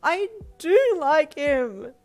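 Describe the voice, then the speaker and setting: A woman's voice: on the high side, expressive, measured pace, vocal fry, husky, voice pushed up, heavily acted. A woman voicing a distraught young woman in an audiobook-style story, tearful and pleading, with a breaking voice. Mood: tearful, wailing. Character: distraught young woman, sobbing, wailing, self-reproaching.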